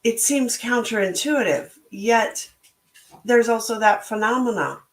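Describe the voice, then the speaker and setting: A middle-aged woman with a slightly hoarse voice, hosting a reflective solo talk in a slow, thoughtful, motivational way. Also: fairly high pitch, echoey room. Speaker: podcast host and book club organizer.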